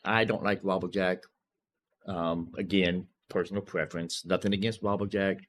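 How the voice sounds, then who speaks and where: A man's voice: low-pitched, steady tone, normal speed. A man casually commentating as a gaming streamer, thinking aloud and informally talking through each step. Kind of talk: casual gameplay and modding commentary. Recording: clearly noisy background.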